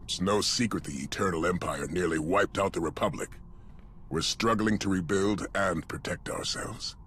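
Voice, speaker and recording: Forceful, stubborn older military man. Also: deep voice, moderate pace, a little hiss or hum. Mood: defiant. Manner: gruff, forceful, defiant. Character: proud, stubborn older male supreme military commander. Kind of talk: video game cutscene acting.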